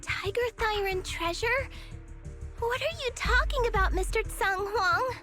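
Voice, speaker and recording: A woman's voice: very high-pitched, unhurried, sharp tone, full character voice. An actress voicing an earnest, brave young girl martial disciple in a video game, with a plucky manner. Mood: confused, indignant. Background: music.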